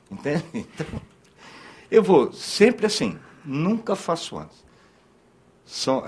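A man's voice: mid-range voice, a little mellow, husky. A man in his fifties with a Brazilian Portuguese accent, hosting a cooking show in a studio kitchen, chatting in a joking, rambling way while he cooks. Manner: joking, rambling, self-interrupting; cooking at the counter while talking. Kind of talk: casual cooking-show chat. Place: TV studio kitchen set, indoors.

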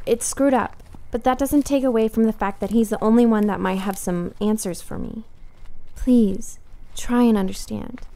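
A determined young half-vampire heroine voiced by a woman in an audio drama. Her voice sits a little high and she talks bluntly, casually and with sardonic edge. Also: moderate pace, mellow, lightly acted. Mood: weary, frank. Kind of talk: naturalistic audio drama acting.

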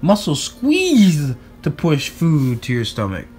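A man reading aloud to children in an expressive, playful storybook style. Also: on the high side, slow, music in the background. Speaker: storybook reader. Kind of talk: animated read-aloud narration for children.

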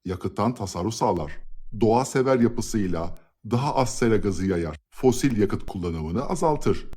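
Low-voiced, kindly cartoon grandfather, played by a man. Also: lively intonation, moderate pace, darkish tone. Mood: patient, explanatory. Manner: patient, explanatory, encouraging. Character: kindly, encouraging grandfather of a schoolgirl. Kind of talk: scripted educational cartoon dialogue.

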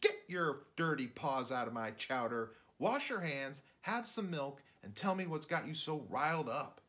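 Man acting storybook rabbit mother, bossy, scolding, raised pitch, forceful delivery. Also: sing-song, a little slow. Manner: scolding, fussing, commanding. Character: brisk, bossy, motherly rabbit mom in the kitchen.

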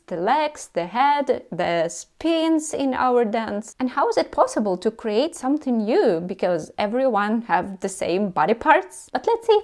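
A woman in her thirties speaks with a Russian accent, her first language Russian showing through her English. She explains tips straight to the camera in an animated way, hands moving as she talks, on a lapel mic in an indoor room. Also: a little high. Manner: animated, gesturing with both hands. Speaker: dance teacher. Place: indoor room before a carved screen.

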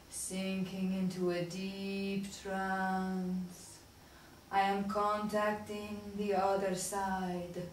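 A woman playing a pompous fake spirit medium who speaks with portentous drama in a comedy sketch. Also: medium pitch. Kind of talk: stage comedy sketch acting. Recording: echoey room, distant mic, faint noise.

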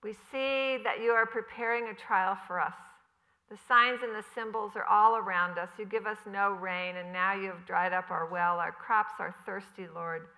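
A woman's voice: medium pitch, steady tone, normal speed, sharp tone, poor audio. A woman voicing a devout, worried farm mother who prays earnestly and speaks tenderly to her child. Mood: pleading. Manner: earnest, pleading prayer voice.

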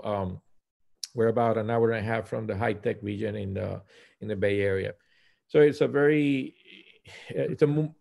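Very creaky Puerto Rican man. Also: medium pitch, darkish tone. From Puerto Rico. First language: Spanish. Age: 50s. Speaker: pastor, interview guest. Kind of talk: conversational personal account. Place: small room at home, indoors. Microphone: video call.

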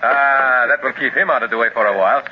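An actor playing a friendly, eager male jungle companion in a 1930s radio serial, bright and chatty, heard through an old recording with a clear hiss. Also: medium pitch, quick pace, highs cut off, close to an everyday voice.

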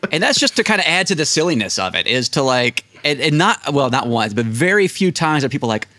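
Casual podcast guest: American male, thirties, mid-pitched voice, storyteller, dry desk-mic sound. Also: very animated, a little fast, bright, forceful tone, dry recording. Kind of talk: casual interview anecdotes. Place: small indoor room, seated at desk. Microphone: close desk microphone on video call.

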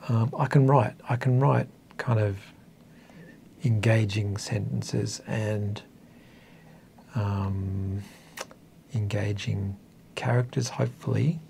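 Gravelly, hesitant Australian man. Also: lowish voice, steady tone, slow pace, long pauses, warm tone, a little hiss or hum. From northern coastal New South Wales, Australia. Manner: hesitant, self-deprecating, thoughtful. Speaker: interview guest, author. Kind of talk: casual reflective conversation. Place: small indoor meeting room.

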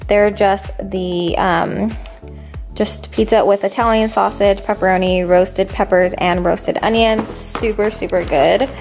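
Young woman, medium pitch, chatty vlogger, informal slangy delivery, music playing behind. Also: fairly even intonation, a little slow, darkish tone. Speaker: vlogger showing a grocery haul. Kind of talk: casual chatty vlog voice-over.

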